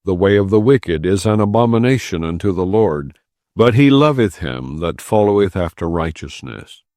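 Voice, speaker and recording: A man narrating an audiobook of scripture in a solemn, formal, measured reading style. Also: sits low, lively intonation, darkish tone, dry recording, clean studio sound.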